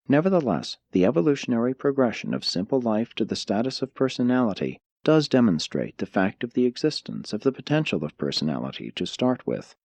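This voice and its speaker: A man narrating an audiobook, reading formal scripted philosophical prose in a measured, declarative manner. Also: mid-range voice, a little mellow, dry recording.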